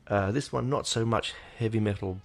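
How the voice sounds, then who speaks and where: A man with a somewhat low, nasal voice hosts his podcast in a loose, rambling way, leaning on fillers and restarting his sentences. He is still getting over an illness, and faint music plays quietly underneath. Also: moderate pace, vocal fry. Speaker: podcast host. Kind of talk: casual podcast talk. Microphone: desk microphone.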